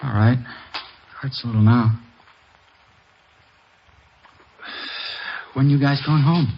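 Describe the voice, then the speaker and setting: A man's voice: mid-range voice, moderate pace, close to an everyday voice. An actor playing an uneasy, evasive male suspect with clipped, slangy speech in a 1950s radio crime drama. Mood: defensive. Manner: clipped, slangy, questioning back. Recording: dull, noisy old radio recording with clicks.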